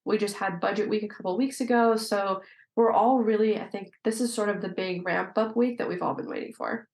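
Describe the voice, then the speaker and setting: Female guest, legislator, conversational and explanatory delivery, medium pitch, echoey roomy recording. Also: steady tone, brisk, a little mellow. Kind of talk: conversational interview answers.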